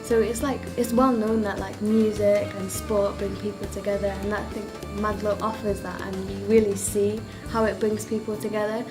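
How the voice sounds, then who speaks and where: A woman's voice: mid-range voice, flat delivery, normal speed, few pauses. A teenage girl, talking casually in a group interview and saying "like" often. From Oldham, England. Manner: chatty, many fillers of "like". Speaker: youth council member. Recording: music playing under the voice.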